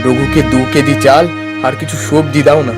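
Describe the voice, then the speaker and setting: A man's voice: medium pitch, flat delivery, normal speed, over music, close to an everyday voice. A man voicing a concerned village husband in a cartoon story, speaking earnestly. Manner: earnest, reasoning.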